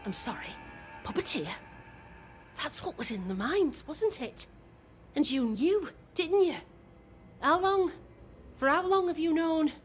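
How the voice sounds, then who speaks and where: A woman's voice: high-pitched, expressive, unhurried, pauses often. A woman playing a determined colonist leader in a game cutscene, with an intense, declamatory delivery. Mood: shocked, accusing. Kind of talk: dramatic game cutscene acting. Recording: music clearly behind the voice.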